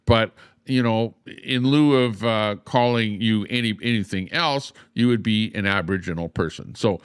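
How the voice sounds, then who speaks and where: Older guy on a livestream, kinda low voice, smooth, dry close sound. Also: dry recording. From Canada. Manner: explains slowly, repeating "okay?" and many "uh"s. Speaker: livestream host. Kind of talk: casual livestream monologue.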